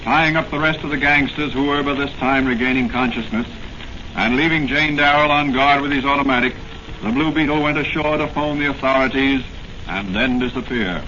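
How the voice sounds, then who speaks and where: A man's voice: mid-range voice, brisk, sharp tone. A man narrating a 1940s radio serial as announcer, in a dramatic, formal style. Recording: noisy, muffled old radio recording.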